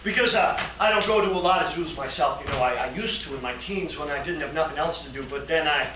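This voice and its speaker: A man playing an odd, naively earnest hotel receptionist who rambles and daydreams in a halting, excitable comic stage monologue. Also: on the high side, pitched below the performer's own voice, lightly acted. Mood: wistful, musing. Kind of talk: theatrical comic monologue performance. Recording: roomy, distant mic, faint noise.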